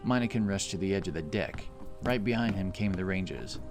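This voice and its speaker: A man's voice: low-pitched, expressive, brisk, music in the background. A man narrating a dramatic fantasy audio story from a script.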